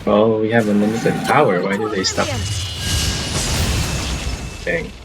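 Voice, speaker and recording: A man's voice: lowish voice, animated, measured pace, creaky, music in the background. A man giving casual live commentary as he streams a video game, in short offhand remarks.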